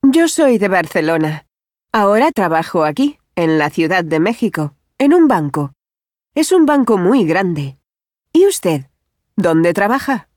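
An actress playing a friendly adult woman who works at a bank, speaking clearly and without hurry in language-course dialogues. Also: fairly low pitch, very animated, a little slow, a little mellow, dry recording, studio quality. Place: dry studio.

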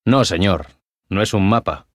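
A man's voice: sits low, measured pace, dry recording, clean studio sound. An actor playing a curious, friendly young man in language-course dialogues, chatty and full of questions. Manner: chatty, inquisitive. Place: dry studio.

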